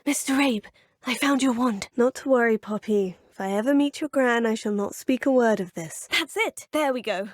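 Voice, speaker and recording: An actress voicing a young, curious, polite witch student in a game, speaking in a husky, often half-whispered voice in formal phrases. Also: on the high side, no echo, close to an everyday voice. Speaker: player character, a student. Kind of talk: game voice lines.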